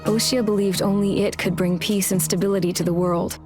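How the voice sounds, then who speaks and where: A woman's voice: mid-range voice, steady tone, a little fast, natural voice. An actress playing a world-weary female pilot, narrating a war in the first person with a reflective, wry, matter-of-fact manner. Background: music with guitar.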